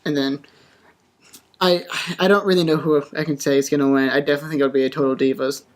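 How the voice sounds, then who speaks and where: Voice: mid-range voice, fairly even intonation, quick pace. A podcast panelist talking in a casual, conversational style. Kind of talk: casual chat.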